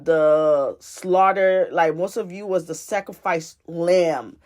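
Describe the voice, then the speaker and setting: A woman from Haiti with a Haitian accent, giving a prophetic teaching monologue as a spiritual teacher, earnest and repetitive, often restarting her phrases. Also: on the low side. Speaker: spiritual teacher and life coach.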